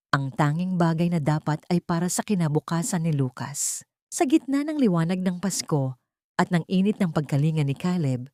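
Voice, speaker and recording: Low-pitched Filipino woman narrating a dramatic story. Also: a little mellow, no room sound, studio quality.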